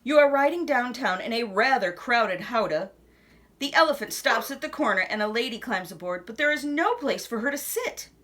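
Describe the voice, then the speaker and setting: A woman reading a children's picture book aloud expressively, with dramatic pauses and hushed asides. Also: on the high side, expressive.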